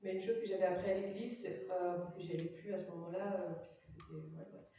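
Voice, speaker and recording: Young Belgian woman, halting church testimony, dark tone, distant mic, very echoey chapel. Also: fairly low pitch, fairly even intonation, a little hiss or hum. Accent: Belgian French. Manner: hesitant, many fillers. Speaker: testimony giver. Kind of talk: personal testimony in church, interpreted.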